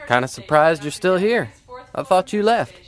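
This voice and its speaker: A man's voice: on the high side, animated, normal speed, close to an everyday voice. A man playing an earnest, romantic lover in a soap-opera audio drama, with emotive, sincere delivery. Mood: puzzled. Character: earnest, romantic male lover, eager and defensive. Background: faint music.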